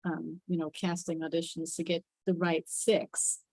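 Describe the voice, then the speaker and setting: A woman's voice: on the low side, monotone, a little slow, gravelly, dark tone, no echo, rough recording. A woman giving her view in a casual group discussion. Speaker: discussion participant.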